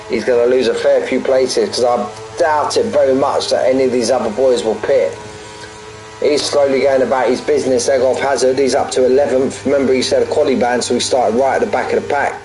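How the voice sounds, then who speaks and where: A man's voice: mid-range voice, a little mellow, low-quality recording. A man in his forties calling a sim race live, chatty and jokey, repeating phrases and bantering with his viewers between the action. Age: 40s. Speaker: sim racing commentator and streamer. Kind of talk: live race commentary mixed with banter with chat.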